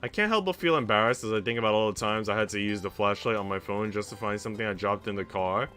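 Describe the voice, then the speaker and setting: Male streamer, lowish bright voice, visual novel read-aloud, informal commentary, faint music behind. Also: fast talker. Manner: informal, slangy asides.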